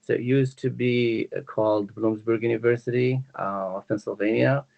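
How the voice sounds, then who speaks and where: A man's voice: medium pitch, steady tone, moderate pace, gravelly, a little mellow, highs cut off. A man from Morocco with a Moroccan accent, answering interview questions conversationally as an academic guest. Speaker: guest, university language professor.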